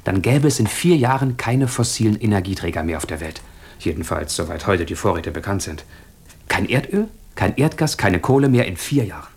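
A man's voice: fairly low pitch, expressive, fairly quick, slightly rough. A man playing a musing, teasing intellectual in an intimate radio drama dialogue, pensive and playful by turns. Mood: pensive, concerned.

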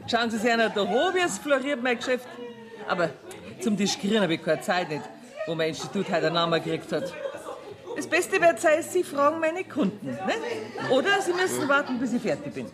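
An actress playing an earthy, bossy, self-assured woman banker with a Bavarian accent in a radio play, brisk and mocking. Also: fairly high pitch, big pitch swings. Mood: breezy, boastful. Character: brash, self-assured woman banker holding court among tavern customers. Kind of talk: radio play acting in dialect. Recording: 1979 radio play, busy crowd behind.

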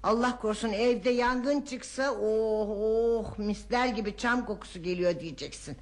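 Woman doing grumbly old wife in a radio play, highish voice, nagging, sighs a lot, no-nonsense. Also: a little slow, natural voice. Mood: exasperated. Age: older. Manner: scolding, sighing, exasperated. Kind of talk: Turkish radio theatre acting.

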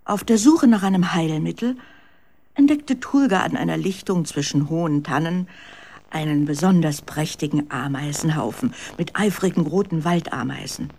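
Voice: mid-range voice, moderate pace, creaky, darkish tone. Children's radio drama narrator. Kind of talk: scripted storytelling narration for children.

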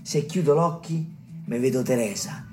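A man acts out an earthy, talkative village caretaker in a radio play, with a thick Roman accent. He digresses and insists, speaking straight to his listeners at a middling pitch, over clearly audible music. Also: animated, moderate pace, close to an everyday voice. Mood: confiding, emphatic. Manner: colloquial, digressive, emphatic, addressing listeners directly. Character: earthy garrulous male health-office caretaker, smallpox survivor and storyteller. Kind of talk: theatrical dialect monologue for a radio play.

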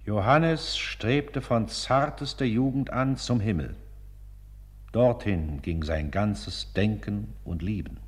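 A man narrating a scripted religious life story in a solemn, measured manner, with long reverb around him. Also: fairly low pitch. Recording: long reverberant hall sound.

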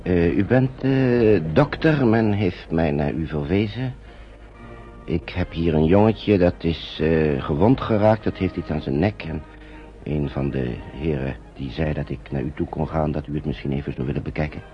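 An actor in a radio play as an anxious, kindly uncle minding refugee children, careful and hesitant. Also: male voice, rumbling low, normal speed. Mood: hesitant, uneasy. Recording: dull old radio play, music behind.

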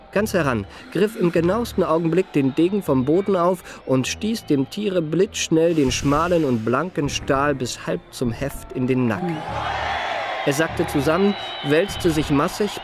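An actor playing an elegant, eloquent gentleman adventurer telling his memoirs in a refined, ornate manner for a radio drama. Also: fairly high pitch, slight background noise. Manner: ornate, measured, refined phrasing. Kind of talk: literary radio drama narration.

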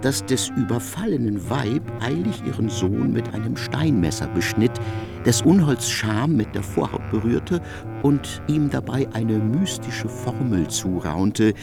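A man playing an erudite narrator in a literary radio drama, speaking eloquently with deliberate phrasing. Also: mid-range voice, lively intonation, vocal fry, over music. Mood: erudite, expressive. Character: erudite male narrator of a biblical epic.

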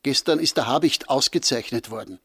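Radio drama: eager small-town gossip, slightly rough husky tone, no room sound. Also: male voice, mid-range voice, a little fast, fairly bright tone, no room sound. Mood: eager, confiding. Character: eager, gossipy village townsman.

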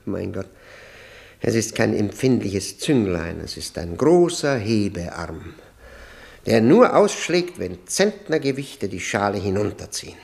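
An actor playing a grave, quietly insistent accuser in a radio play, measured, ironic and politely persistent. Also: male voice, on the low side, very animated, gravelly, full character voice. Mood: bitter irony. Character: grave, probing, quietly insistent accuser doubting the justice system. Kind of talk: German radio play acting, 1960.

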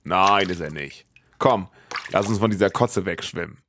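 Man acting a low-voiced husband adrift at sea. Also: normal speed, a bit bright, slight background noise. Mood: dryly reassuring. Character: jittery husband stranded at sea with his wife. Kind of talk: amateur film re-enactment in a podcast.

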